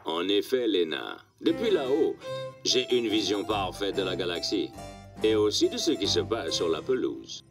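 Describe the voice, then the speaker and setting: A man's voice: fairly low pitch, sing-song, moderate pace. A man voicing a grandiose, smug rival coach in a cartoon, pompous and heard from a distance. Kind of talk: cartoon voice acting. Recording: distant voice with music behind.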